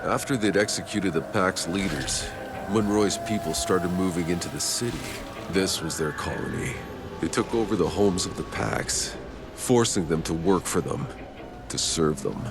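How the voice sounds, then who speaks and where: An actor playing a grave, matter-of-fact soldier in a video game cutscene. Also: male voice, low voice, normal speed, loud music behind the voice. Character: gruff soldier reporting grim discoveries to companions.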